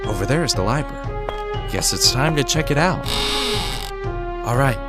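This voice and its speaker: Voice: medium pitch, moderate pace, loud music behind the voice, lightly acted. An actor playing a curious amateur sleuth in a hobby audio drama, speaking expressively. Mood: curious, musing. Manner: expressive, swinging between musing and exclaiming. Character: curious amateur sleuth exploring an old house. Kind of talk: hobby audio drama acting, thinking aloud.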